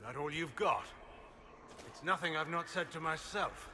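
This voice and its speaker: An actor playing a stern, blunt companion who answers in clipped lines. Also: male voice, on the high side, moderate pace, close to an everyday voice. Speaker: warden companion. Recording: game audio with ambient noise.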